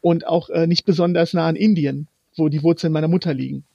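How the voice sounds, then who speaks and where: A young man from Germany whose first language is Romani, a guest in an interview, talking in halting bursts as he hunts for words. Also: a little high, steady tone, vocal fry, warm tone, slightly rough, no echo, dull audio. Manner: halting, pausing often to find words. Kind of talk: interview conversation.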